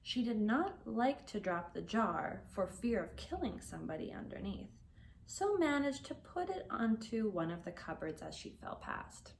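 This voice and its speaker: A woman reading a children's story aloud as a teacher would, with expressive, deliberate phrasing for learners. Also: medium pitch, gravelly, a little hiss or hum.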